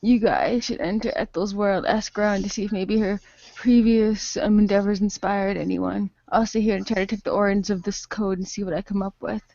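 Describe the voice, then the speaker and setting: A woman narrating an improvised radio play as game master, with a halting delivery. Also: mid-range voice, steady tone, creaky, warm tone, dry recording. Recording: dull lo-fi voice recording.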